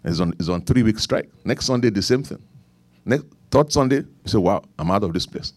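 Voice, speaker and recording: A middle-aged man with a Nigerian accent preaching a sermon, repeating key lines, leaning into emphatic points and then dropping low for quiet asides. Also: expressive, fairly quick, no echo. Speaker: pastor.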